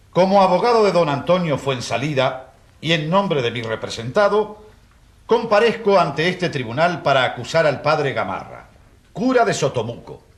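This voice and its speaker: An actor playing an indignant, self-assured prosecuting lawyer in a radio courtroom drama, declamatory and formal. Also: male voice, on the high side. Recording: roomy echo.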